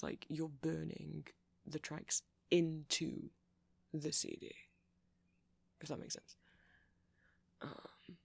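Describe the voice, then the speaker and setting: Nerdy, gentle vampire for ASMR roleplay, played by a man: creaky, halting, rambling, reassuring. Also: a little high, no echo, full character voice. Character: nerdy, kindly, rambling male vampire music fan. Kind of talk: ASMR roleplay, soft conversational monologue.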